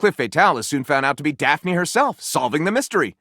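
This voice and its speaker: A man voicing an excitable, pompous author of trashy novels in a video game, with a theatrical, sardonic manner. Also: on the high side, quick pace, no room sound, clean studio sound, heavily acted. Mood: grandly pronouncing. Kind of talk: video game character voice acting.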